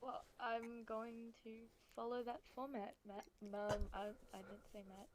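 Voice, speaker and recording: A woman's voice: fairly high pitch, steady tone, a little slow, heavy background noise. A young woman from the Philippines, speaking casually and hesitantly on a podcast. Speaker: podcast participant. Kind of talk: casual, hesitant self-introduction.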